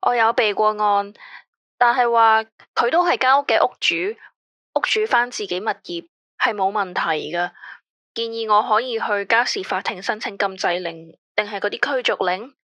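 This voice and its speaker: An actress playing an anxious radio caller who speaks Cantonese with a Hong Kong accent in a tense, weary, complaining way. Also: female voice, medium pitch, normal speed, pushed and bright, dry recording, close to an everyday voice. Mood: uneasy. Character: female caller, anxious about a controlling ex-husband. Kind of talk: radio drama acting.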